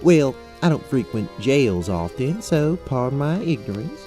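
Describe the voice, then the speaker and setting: An actor playing a dainty, polite Southern belle adventurer with a Southern US accent in a fantasy audio drama. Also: female voice, very deep voice, sing-song, a little slow, warm tone, soft background music, heavily acted. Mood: curious, composed. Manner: genteel, courteous.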